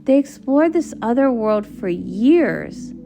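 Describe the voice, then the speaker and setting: A studious young female pony voiced by a woman, eager and earnest. She explains in an excitable, high-pitched voice with animated swings, over a soft music bed in a comic read-along. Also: slow pace, vocal fry, full character voice. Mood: astonished. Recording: music under the voice.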